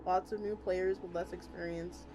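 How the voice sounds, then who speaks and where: A woman's voice: on the low side, little pitch movement, moderate pace, rough recording. A woman streamer reading a story aloud expressively, sometimes stumbling over words and restarting lines. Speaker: VTuber streamer. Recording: music playing under the voice. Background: music.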